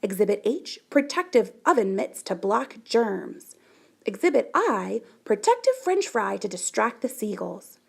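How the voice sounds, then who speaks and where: Female storybook reader, high and very lively, unhurried, expressive delivery for young listeners. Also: creaky, slightly rough. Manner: animated, deliberate, dramatic emphasis. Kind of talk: expressive children's picture book read-aloud.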